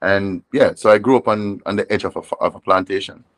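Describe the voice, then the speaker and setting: A Jamaican man with a fairly low-pitched voice speaks in an unhurried, thoughtful way. He often repeats a word or restarts a sentence while he reaches for the next thought. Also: no room sound. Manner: reflective, frequent restarts and repeated words. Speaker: reggae artist, guest. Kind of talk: conversational interview.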